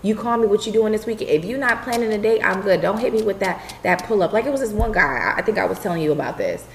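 A woman in her twenties co-hosting a podcast, telling her dating stories with animated emphasis. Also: medium pitch, quick pace. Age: 20s. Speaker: podcast co-host. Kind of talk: casual chat. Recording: echoey room with faint noise.

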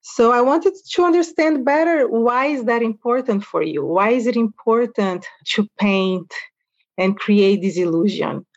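A woman's voice: on the high side, a little slow, no room sound, dull audio. A woman interviewing an artist, asking long, musing questions with some fillers. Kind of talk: conversational interview.

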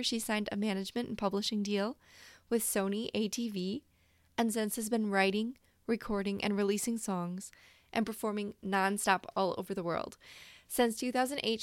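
A woman's voice: mid-range voice, dry recording, clean studio sound. A woman hosting a singing podcast, reading an upbeat intro and then interviewing a guest. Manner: upbeat, enthusiastic. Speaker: podcast host.

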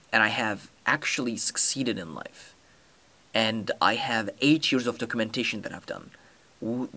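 A man's voice: lowish voice, a bit bright. Earnest young man, Turkish native speaker. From Turkey. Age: young adult. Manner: earnest and determined, many fillers and restarts. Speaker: podcast host. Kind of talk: solo podcast monologue.

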